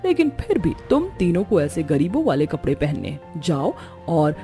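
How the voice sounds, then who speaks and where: A woman's voice: low voice, sing-song, moderate pace, dark tone, faint music behind. A woman voicing a haughty, domineering rich mother-in-law in a cartoon story, speaking in a stern, imperious way. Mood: scolding, commanding. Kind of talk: Hindi cartoon story dialogue.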